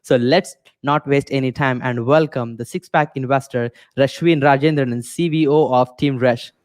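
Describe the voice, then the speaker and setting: A man's voice: mid-range voice, steady tone, normal speed. A man, hosting a podcast and hyping his guest, piling on words like amazing and crazy. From India. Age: 20s. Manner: hype-driven, repeats "amazing" and "crazy". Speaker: podcast host. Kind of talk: podcast interview.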